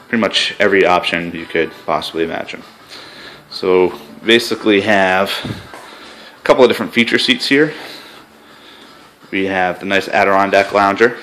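A man's voice: low voice, fairly bright tone, low-quality recording. A man with a New England accent giving a casual, filler-heavy sales walkthrough of a hot tub in a spa showroom, speaking from behind a handheld camera. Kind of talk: walkthrough product tour.

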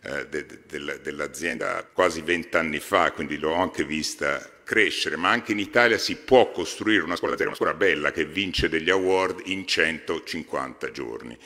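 A man in his fifties addresses a large hall from a podium microphone. His voice sits very low yet carries a bright, forceful edge, and it rings with a long reverb. He pauses and hesitates often as he speaks. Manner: halting, frequent hesitations. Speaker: foundation president. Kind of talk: formal speech at a podium. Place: large exhibition hall stage.

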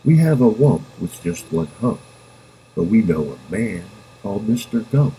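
A man reading a children's rhyming book aloud in a playful, sing-song manner with drawn-out words. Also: sits low, mellow, rough recording. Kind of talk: expressive storybook read-aloud in rhyme. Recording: home recording with background noise.

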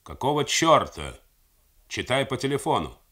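A man's voice: medium pitch, sing-song, moderate pace, pushed and bright, slightly rough, voice pushed up, full character voice. A man doing a sleepy, irritable navy captain. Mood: irritated. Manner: curt, grumbling.

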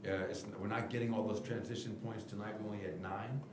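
A middle-aged man, a basketball head coach, answering reporters' questions at a post-game press conference podium with a table mic, candid and animated. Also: fairly low pitch, quick pace, roomy sound, background noise. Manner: candid, animated, gestures while explaining. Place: press room at team podium. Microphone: table mic in front of him.

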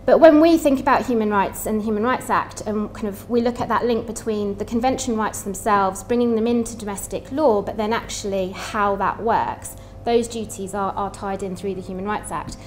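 A woman's voice: mid-range voice, normal speed, hardly any pauses, slight background noise. Conversational woman explaining on a panel. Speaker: human rights organisation director, panelist. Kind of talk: explanatory seminar talk. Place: indoor seminar room, seated at panel table.

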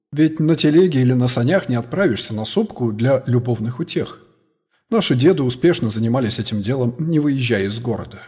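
Man, husky voice, medium pitch, scripted detective audiobook narration. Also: mellow.

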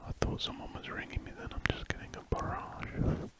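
A British man speaking in a breathy whisper, rambling casually and gently as he draws for ASMR, with hesitant musing. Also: a little fast. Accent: British English. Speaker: ASMR artist. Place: small warm room at a desk, window shut. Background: pen scratching on paper.

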